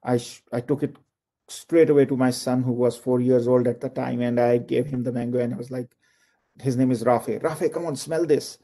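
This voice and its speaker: A man's voice: medium pitch, fairly even intonation, normal speed, mellow. A middle-aged man from Pakistan giving an online lecture from home over a video call, chatty and full of "like, you know" fillers. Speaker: university lecturer.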